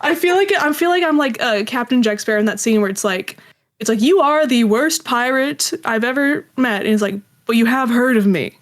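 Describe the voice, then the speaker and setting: High-voiced woman, chatty and self-deprecating. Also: a little fast. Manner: animated storytelling, self-deprecating, acts out quoted exchanges. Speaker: guest, audio drama creator. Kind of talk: casual interview chat.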